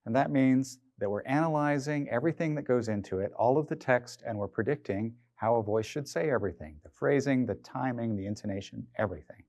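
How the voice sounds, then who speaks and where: A man's voice: mid-range voice, fairly quick, hardly any pauses, a little mellow, dry recording. A man in his fifties giving a prepared technical presentation as a research scientist. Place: indoor studio stage. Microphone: clip-on lapel mic.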